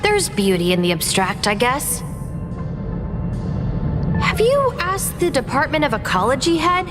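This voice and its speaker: An actress playing a quirky researcher in a video game, musing aloud in an offhand way about ideas. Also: female voice, sits high, big pitch swings, a little slow. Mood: musing, intrigued. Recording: loud music behind the voice.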